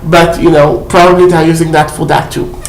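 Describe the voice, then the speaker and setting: A woman's voice: low voice, little pitch movement, brisk, a little hiss or hum. Chatty woman in an echoey room. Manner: chatty, many fillers and "okay?" check-ins. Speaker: vlogger showing a shopping haul. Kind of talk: casual haul video chat. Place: indoor room at home. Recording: roomy echo.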